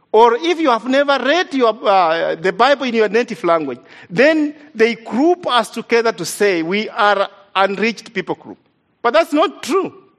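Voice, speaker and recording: An East African man, not a native English speaker, delivers a sermon in a notably high voice. He preaches earnestly and with emphasis, repeating his main points to drive them home. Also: a bit bright. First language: an African language, not English. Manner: earnest, emphatic, repeats key lines for stress.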